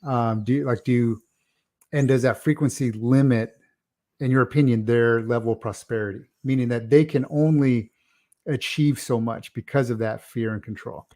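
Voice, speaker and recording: A man with a mid-pitched voice hosts a casual interview. He asks long, rambling questions and keeps affirming the guest with frequent yeahs and okays. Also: steady tone, moderate pace, darkish tone. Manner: affirming, lots of "yeah" and "okay", rambling questions. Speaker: podcast host.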